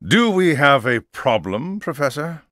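An actor playing a stern older academy headmaster in a video game, speaking formally and with measured theatrical weight. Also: male voice, fairly high pitch, very animated, a bit bright, no echo, clean studio sound. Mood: measured, concerned. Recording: orchestral game music behind the voice. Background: background music.

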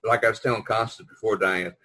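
A man's voice: fairly low pitch, fairly even intonation, fast, bright, forceful tone, slightly rough. An American man hosting a casual podcast chat on a video call, talkative and jokey, prodding the others along. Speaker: podcast host, visual artist. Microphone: online video conference call.

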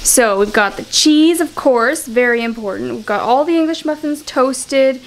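A woman's voice: sits high, animated, moderate pace, a little hiss or hum. A young woman from Canada chatting casually in a cooking vlog, upbeat with little laughs and ums. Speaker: lifestyle vlogger. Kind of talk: casual kitchen voice-over. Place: home kitchen. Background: soft background music.

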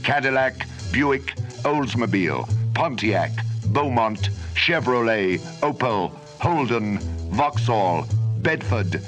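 A male radio series announcer with a medium-pitched voice reads dramatic scripted copy. Music plays clearly behind him. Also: expressive, slow, a bit bright.

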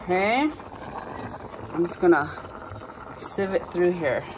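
A woman in her thirties chatting casually as she cooks at home and films on her phone, filling pauses with fillers and little apologies. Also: fairly low pitch, expressive, background noise. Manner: chatty, self-narrating, filler-heavy, apologetic. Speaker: home cooking vlogger. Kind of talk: casual cook-along chat. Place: home kitchen, indoors.

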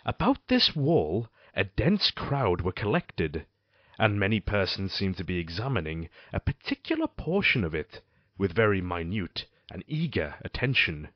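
An actor playing a brooding, cruel married man haunted by guilt, narrating a gothic audio drama in formal, theatrical phrasing with a husky voice. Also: deep voice, sing-song, unhurried, dry recording, muffled recording, full character voice. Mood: awed, grave.

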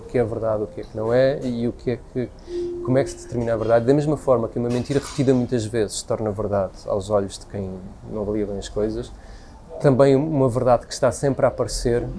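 Man, 40s, European Portuguese accent, quick reflective talk, frequent restarts, busy café, crowd chatter behind. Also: mid-range voice. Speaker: interview guest. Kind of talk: casual conversational interview.

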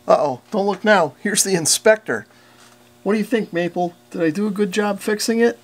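Guy in his 40s doing casual fix-it video narration, slightly high voice, lots of vocal fry. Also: moderate pace. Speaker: repair channel host.

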